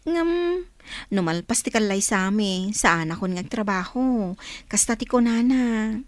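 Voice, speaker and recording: A woman's voice: medium pitch, animated, measured pace, no room sound, close to an everyday voice. A woman playing a cheerful young wife in an Ilocano Filipino radio drama.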